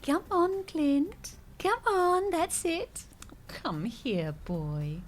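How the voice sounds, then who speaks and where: A woman's voice: very high voice, a little hiss or hum, exaggerated voice. An actress with a British accent playing a chatty, newly patient visitor who dotes on her pet, in an audio comedy. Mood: coaxing.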